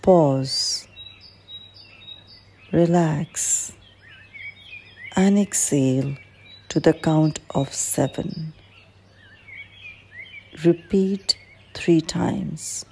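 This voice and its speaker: A woman leading a guided meditation as an instructor, speaking in a calm, gentle, measured manner. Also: low-pitched, gravelly. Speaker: clinical psychologist guiding a meditation. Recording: faint background noise with birdsong.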